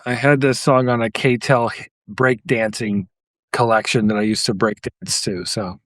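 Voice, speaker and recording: A man's voice: medium pitch, steady tone, measured pace, a bit bright, no echo, studio quality. A man co-hosting a podcast, reminiscing casually about music. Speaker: podcast co-host.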